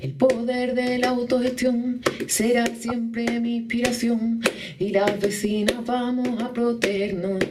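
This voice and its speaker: A woman's voice: on the high side, little pitch movement, takes it slowly, far from the mic, heavy background noise. A woman performing an adapted song, half singing the verses. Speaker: community radio contributor. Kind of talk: sung song parody with spoken-like verses. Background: music.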